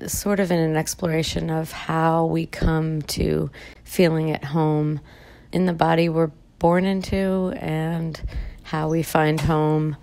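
A woman artist giving a slow, reflective statement about her art and her life. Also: deep voice, vocal fry, a little mellow. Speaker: exhibiting artist.

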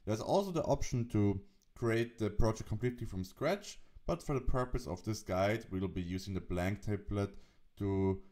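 A man narrating an instructional software tutorial, explaining steps as the presenter. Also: lowish voice, normal speed.

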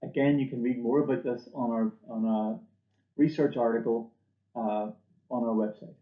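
A man delivers a scripted corporate presentation with a measured, formal delivery. His pitch sits in the middle range for a male voice. The sound is slightly dull and noticeably roomy, as if captured by a computer microphone in a small office. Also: steady tone. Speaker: company presenter. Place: small indoor office or lab room.

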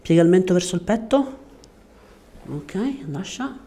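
A man in his thirties speaking as a chiropractor, giving short, gentle, reassuring instructions to a patient in a calm clinical way. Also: high voice, measured pace. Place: indoor chiropractic office. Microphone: lapel mic. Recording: faint background room noise.

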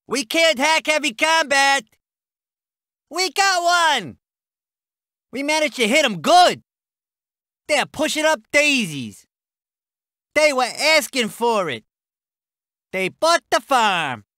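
An actor playing a brash American army truck driver in a video game, with punchy, clipped, slangy soldier delivery. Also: male voice, very high voice, sing-song, slow, pauses often, pushed and bright, dry recording, studio quality, heavily acted. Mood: triumphant. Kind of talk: video game unit barks.

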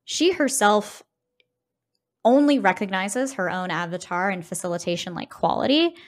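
A woman co-hosts a casual, analytical podcast. Her voice sits at a middle pitch. She talks in a discursive, winding way and keeps checking in with a tagged-on "right?". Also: animated, moderate pace. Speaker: podcast host.

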